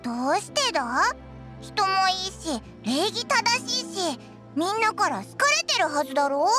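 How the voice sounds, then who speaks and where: A woman playing a small, cheeky, childlike fairy companion in an anime game, with squeaky, brash boyish speech. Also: big pitch swings, moderate pace. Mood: curious. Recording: music playing behind the voice.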